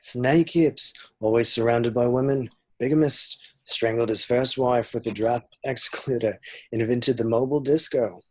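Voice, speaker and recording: A man's voice: mid-range voice, measured pace, darkish tone, breathy edge. A man playing a crude, cheerful young slacker in an amateur comedy table read, with sweary, matey banter. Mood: mischievous, boastful. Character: crude, cheerful, loyal young male slacker best mate. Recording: home-recorded remote read.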